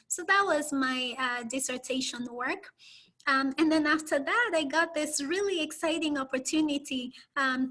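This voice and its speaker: A woman's voice: sits high, poor audio. A woman with a Kenyan accent, a public health professor, telling her career story on a video call with many "um"s. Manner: warm, measured storytelling with many "um"s. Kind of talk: interview answers on a video call.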